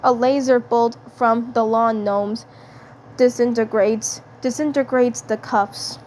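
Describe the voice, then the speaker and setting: Slow, halting woman narrator. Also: fairly high pitch, fairly even intonation, a little mellow. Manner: halting, repeats words and phrases. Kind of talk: fan fiction script narration. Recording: clear background noise.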